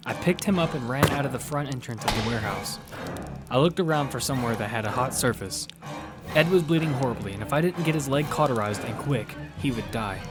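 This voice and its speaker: A man earnestly narrating a dramatic first-person action story in scripted audio fiction. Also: mid-range voice. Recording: loud music bed behind the voice. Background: loud music.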